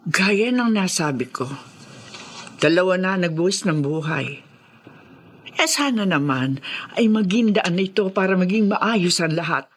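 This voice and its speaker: A woman's voice: lowish voice, animated, a little slow, pauses often. A woman acting as a caring, admonishing figure who urges peace in a Filipino radio drama. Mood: solemn, reproving.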